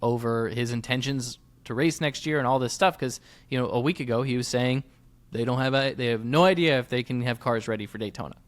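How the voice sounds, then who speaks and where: A man's voice: mid-range voice, brisk. Man casually talking racing as co-host. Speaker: motorsports podcast co-host. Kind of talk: casual sports analysis chat.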